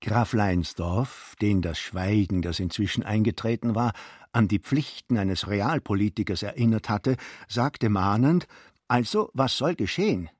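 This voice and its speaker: A man reading literary fiction as a narrator, deliberately, with acted dialogue. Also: fairly low pitch, no echo, clean studio sound.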